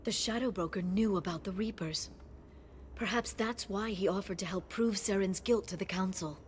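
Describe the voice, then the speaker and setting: A woman playing a gentle, scholarly alien information broker in a video game, speaking softly and earnestly. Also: medium pitch, moderate pace, slight background noise, close to an everyday voice. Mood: scholarly, thoughtful.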